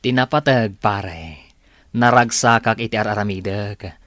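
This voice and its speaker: A man's voice: lowish voice, unhurried, close to an everyday voice. A man with a Filipino accent playing a cocky, dismissive young boyfriend in a radio drama. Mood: smug.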